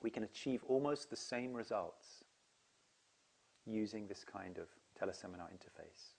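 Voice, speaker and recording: A man's voice: fairly low pitch, normal speed, creaky, darkish tone, breathy edge. Earnest man explaining to camera. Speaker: seminar leader.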